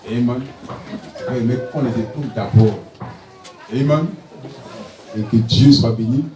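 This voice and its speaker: A man's voice: medium pitch, takes it slowly, a little mellow. A pastor in his forties preaching a sermon, punctuating his phrases with alleluia and amen. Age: 40s. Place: church hall. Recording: echoey hall, distant mic, noisy.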